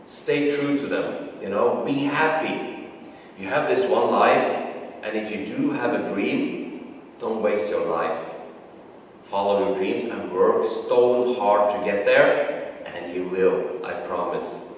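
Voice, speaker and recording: A man's voice: fairly low pitch, slow, slight background noise. Earnest Norwegian man in echoey studio. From Norway. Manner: earnest, emotional, frequent restarts. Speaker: martial arts instructor. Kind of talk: heartfelt video tribute. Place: martial arts studio, indoors. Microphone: camera at a distance. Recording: echoey room, far from mic.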